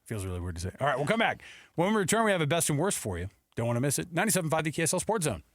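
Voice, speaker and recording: A man's voice: fairly high pitch, big pitch swings, racing, dry recording. A man hosting sports radio with casual, conversational and playful delivery on a close studio mic. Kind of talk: casual sports talk with anecdotes. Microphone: close studio radio microphone.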